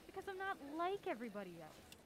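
A woman playing a mild-mannered young film buff in an audio drama, polite and quick-witted in her banter. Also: very high-pitched, big pitch swings, background noise. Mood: dry banter. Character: mild-mannered young female video store assistant manager, film buff.

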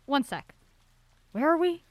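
A woman playing an excitable, resolute heroine on the run in an audio drama, earnest and urgent. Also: high voice, unhurried, no echo.

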